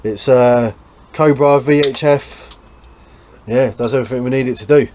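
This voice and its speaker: A man's voice: medium pitch, fairly even intonation, moderate pace, a little mellow. An English man hosting a boat restoration vlog, chatting casually and explaining the job as he works in an informal, blokey way. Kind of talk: casual hands-on vlog chat. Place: on and around a small boat. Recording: background noise on location.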